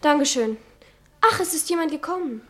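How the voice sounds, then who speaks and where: A high-voiced anxious young girl. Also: expressive, moderate pace, a bit bright. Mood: plain, anxious. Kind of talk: German radio drama acting.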